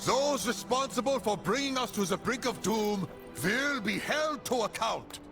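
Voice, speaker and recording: An actor playing an earnest rebel leader in a fantasy game, speaking in a solemn, formal, oratorical way. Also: male voice, very high-pitched, animated, measured pace, heavily acted. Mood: rousing, proclaiming. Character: earnest male rebel leader proclaiming a free city. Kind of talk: dramatic fantasy game dialogue. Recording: music behind the voice.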